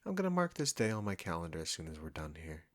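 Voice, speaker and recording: A man's voice: sits low, huge pitch swings, quick pace. A man playing a cocky, condescending chef who teases a longtime rival, in an intimate roleplay audio drama spoken to the listener. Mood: grudgingly fond. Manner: smug, bantering, teasing.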